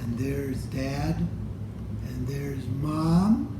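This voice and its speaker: A man reads a picture book aloud for children. His delivery is very slow and expressive, addressed straight to young listeners. The room is echoey, with clear noise behind the voice. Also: medium pitch. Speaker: storybook reader. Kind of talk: children's read-aloud storytelling.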